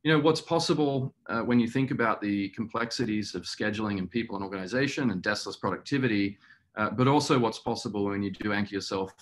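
A middle-aged man with a fairly low voice speaks on a video call as a company executive. He explains things earnestly and at a measured pace of thought, pausing often as he gathers his words. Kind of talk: webinar interview answer. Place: small indoor room, video call.

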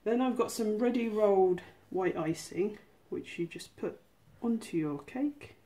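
A woman with a fairly low, very mellow voice talks through a recipe. She explains each step informally and chattily, as the host of a small cooking channel filmed at home. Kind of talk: casual cooking tutorial.

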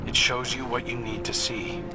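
Guy as grave thoughtful fighter in a game, medium voice, music loud behind him. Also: medium pitch, level tone, moderate pace, lightly acted. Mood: pensive. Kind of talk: scripted video game dialogue.

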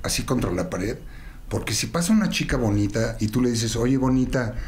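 A man's voice: medium pitch, moderate pace. Mexican guy, middle-aged, chatting at a studio desk mic, voice goes up and down a lot. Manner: animated, gestures while talking, laughs often. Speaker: guest. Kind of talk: casual interview chat. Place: small studio.

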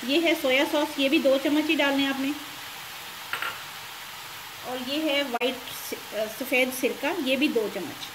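A woman's voice: high voice, fairly even intonation, unhurried, long pauses. A woman hosting a home cooking show, giving recipe instructions step by step. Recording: loud frying sizzle and stirring noise. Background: sizzling pan and stirring.

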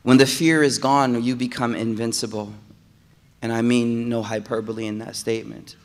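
A man giving a Friday sermon, moving from calm storytelling to loud, emphatic preaching and repeating phrases for emphasis. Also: mid-range voice, moderate pace. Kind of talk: impassioned sermon. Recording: echoey hall reverb.

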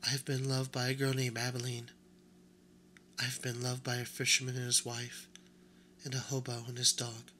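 Man doing a soft, aloof toy rabbit. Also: completely flat delivery, normal speed, pauses often, a little hiss or hum, lightly acted. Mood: proud, weary. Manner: soft, low and restrained. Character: proud, wounded male toy rabbit, quiet and aloof.

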